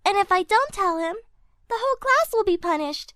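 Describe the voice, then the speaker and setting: A woman's voice: very high-pitched, lively intonation, moderate pace, a bit bright, dry recording, studio quality, voice pushed up. A woman voicing a worried little cartoon schoolgirl, earnest and childlike, explaining things carefully. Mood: troubled, pleading. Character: worried little schoolgirl confiding in her grandmother.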